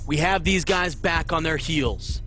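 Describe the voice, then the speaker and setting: A man's voice: a little high, a little slow, pushed and bright, faint music behind. A man playing an encouraging pro basketball head coach in a video game cutscene. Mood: motivating, urgent. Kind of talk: team pep talk in a game cutscene.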